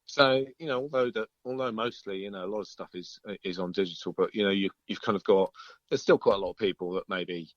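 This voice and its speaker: A man's voice: on the low side, fast talker, no room sound. A middle-aged man, a musician being interviewed, chatting casually in a hesitant, thoughtful, polite way over a lo-fi line.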